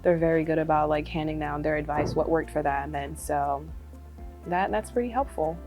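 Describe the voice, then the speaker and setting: Brief: young woman from Congo, lowish voice, relaxed testimonial, faint music under. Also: moderate pace. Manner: casual and chatty, many fillers, laughs often. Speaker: medical student. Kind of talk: testimonial interview.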